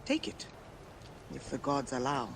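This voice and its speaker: An actress playing a brash, suspicious gambler and historian in a video game, with a sardonic, salty way of talking. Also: female voice, rumbling low, very animated, unhurried, a little hiss or hum, heavily acted. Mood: bitter, sardonic. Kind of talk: dramatic video game acting. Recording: music behind the voice.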